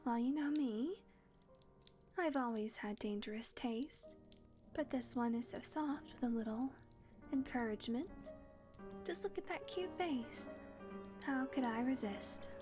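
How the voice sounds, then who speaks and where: High-voiced wry female vampire, played by a woman. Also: measured pace, pauses often, music in the background, lightly acted. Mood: teasing, guarded. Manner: glib, sardonic, placating. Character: wry female vampire fledgling, flattering her mistress. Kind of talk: audio roleplay acting.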